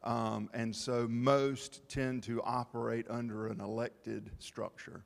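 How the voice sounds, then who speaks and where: A man presenting at a town information session, explaining to the public in a halting, plain-spoken way. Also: mid-range voice, level tone, gravelly. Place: town meeting hall. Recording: long reverb of a meeting hall.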